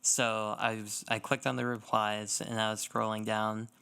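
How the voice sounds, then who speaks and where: Young male co-host, casual and informal. Lower-pitched, crisp delivery with little melodic swing. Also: level tone, unhurried, sharp tone. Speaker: podcast co-host. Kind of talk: casual chat.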